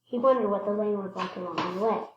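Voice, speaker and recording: A woman reading a children's picture book aloud expressively, stressing words and pausing to point things out. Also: mid-range voice, roomy sound, highs cut off, slight background noise. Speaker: read-aloud storyteller.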